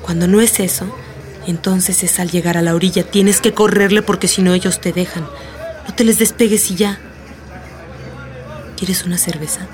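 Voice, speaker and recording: A woman's voice: lowish voice, steady tone, moderate pace, pauses often, darkish tone, natural voice. A woman with a Mexican accent acting in a radio play as a streetwise, protective schoolteacher, plain-spoken and matter-of-fact. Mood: reassuring, practical. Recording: music bed behind the voice.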